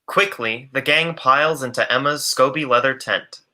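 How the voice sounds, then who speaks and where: Male actor, 20s, as dramatic serial storyteller, very bright and punchy, mid-range pitch. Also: normal speed. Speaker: narrator. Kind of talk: dramatic comedic radio play narration. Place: room at home, on a video call.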